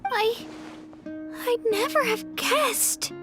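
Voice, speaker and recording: A woman's voice: extremely high pitch, very slow, exaggerated voice. A woman playing an earnest, thoughtful young hero in a video game, reflective and a little hesitant. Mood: stunned. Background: game music.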